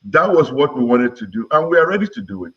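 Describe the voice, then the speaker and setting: A man in his sixties with a Nigerian accent, from Lagos, giving measured answers as an interview guest on a muffled recording. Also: medium pitch, very animated, slightly rough. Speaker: interview guest, politician and broadcaster.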